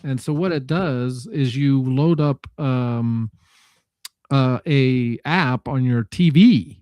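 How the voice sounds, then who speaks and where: A man in a casual tech discussion, speaking haltingly and correcting himself as he thinks aloud. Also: mid-range voice, darkish tone, no echo.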